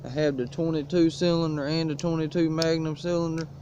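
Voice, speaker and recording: A man's voice: fairly high pitch, level tone, a little fast, mellow. A man casually showing his everyday gear in a folksy, laid-back drawl, filmed outdoors in the woods on a propped-up cell phone. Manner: laid-back rural drawl, folksy, coughs now and then. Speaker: outdoors vlogger. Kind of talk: casual show-and-tell vlog. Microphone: cell phone propped on a stick. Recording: dull lo-fi phone audio, faint hiss.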